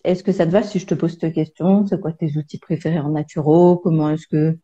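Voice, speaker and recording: A woman's voice: deep voice, steady tone, a little fast, mellow. A woman interviewing a colleague in a friendly, informal way. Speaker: interviewer, naturopath. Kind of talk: casual interview questions. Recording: dull lo-fi sound.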